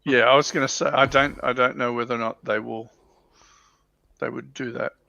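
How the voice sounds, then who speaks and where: A man chatting casually on a hobby livestream, haltingly repeating phrases as he thinks aloud, with muffled audio. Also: medium pitch, fairly even intonation, gravelly, bright, forceful tone, no echo. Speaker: livestream panellist on a tabletop wargaming show.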